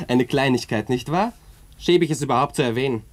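An actor in a radio play voicing a proud, earnest young cavalry lieutenant, polite and emphatic. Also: male voice, medium pitch, moderate pace, close to an everyday voice. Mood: exasperated, ironic.

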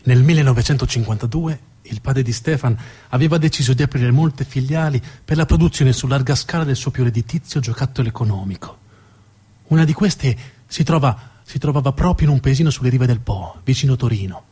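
A man performing dramatic scripted narration as a theatre storyteller, with a deliberate, emphatic delivery and dramatic pauses. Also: medium pitch, mellow, husky.

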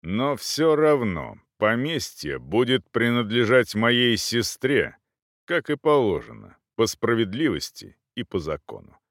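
A man's voice: sing-song, measured pace, fairly bright tone, dry recording, clean studio sound. A man voicing a stern, weary knight with a restrained, curt manner in an audiobook. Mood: cold defiance. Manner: low, restrained, reluctant.

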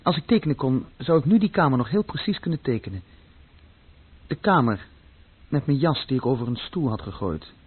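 Husky troubled young man, plainly telling his story. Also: medium pitch, expressive, brisk. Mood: subdued, uneasy. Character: troubled young German man recounting his past, plain-spoken, fearful. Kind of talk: radio play first-person narration. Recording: dull lo-fi old radio play recording.

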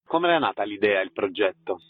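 A man's voice: lowish voice, lively intonation, fairly quick, breathy edge, dry recording. A man hosting a radio interview, giving concise announcements and putting short questions to a guest. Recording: dry, narrow phone-like sound.

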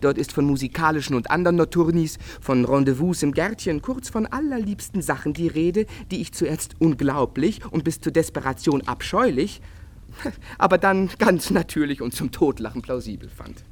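A man's voice: fairly high pitch, animated, moderate pace. A man playing a passionate, brooding young painter in love in a literary radio drama, declamatory and agitated, with old-fashioned diction. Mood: sarcastic, wounded.

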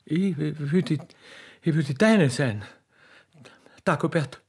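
A man plays a smitten Viennese tradeswoman widow in a radio comedy. She is folksy and gushing, halting along very slowly in a slightly raised voice. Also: on the high side, breathy edge, pitched above the performer's own voice, full character voice. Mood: pleading, lovelorn. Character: lovestruck, plain-spoken rich Viennese tradeswoman widow.

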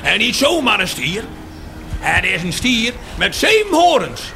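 A furious cow rallies the herd in a radio play. The voice is very high and leaps around, slightly gravelly, with declamatory refrains and rhetorical questions over music. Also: male voice, sing-song, a little slow, sharp tone, full character voice. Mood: rousing, indignant. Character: furious cow rallying the herd against city builders. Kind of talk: theatrical radio play monologue.

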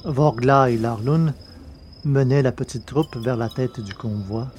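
A man narrates a novel in a measured, deliberate way. His voice is mellow and dark-toned, set at an average pitch for a man. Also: slight background noise. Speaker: audiobook reader. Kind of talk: scripted narration of a science-fiction novel.

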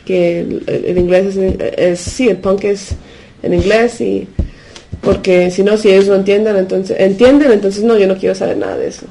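A woman from the United States, a native Spanish speaker, talking unscripted as a radio interview guest. She speaks haltingly, searching for words and slipping in English fillers. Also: mid-range voice, steady tone, vocal fry, mellow, slight background noise, rough recording. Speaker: interview guest from a punk collective.

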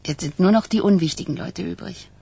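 An actress playing a friendly, self-deprecating young girlfriend in a radio crime drama, candid, with a raspy, creaky voice. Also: lowish voice, fairly quick, dark tone. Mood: wry. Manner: candid, unpretentious.

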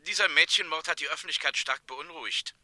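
Unyielding execution official, radio drama, piercingly forceful, slightly high, curt, dry close sound. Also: male voice, quick pace, no room sound. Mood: stern, official. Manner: curt, authoritative, formal. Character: stern, unyielding male prison official overseeing an execution. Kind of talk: German radio crime drama acting.

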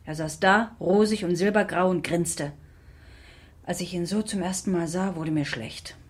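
An actress playing a sober, sceptical middle-aged sister in a naturalistic radio drama. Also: female voice, fairly low pitch, moderate pace, husky. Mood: subdued, bleak.

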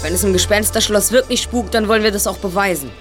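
Audio drama lead: actor as brisk boy detective, mid-pitched, articulate and analytical, bookish turns of phrase. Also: a bit bright, soft background music, close to an everyday voice. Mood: businesslike, confident.

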